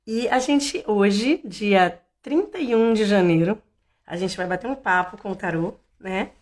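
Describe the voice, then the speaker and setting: A woman from Salvador, hoarse, hosting a casual tarot live stream in a chatty, meandering way with many fillers and restarts. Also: medium pitch, measured pace. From Salvador, Bahia, Brazil.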